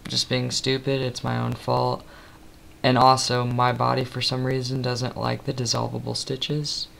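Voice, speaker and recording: A young man in his twenties giving a casual late-night webcam update, tired and slightly raspy, rambling with many ums. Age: 20s. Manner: rambling, many ums, soft and low-key. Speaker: vlogger. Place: small room indoors. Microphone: built-in webcam mic at close range.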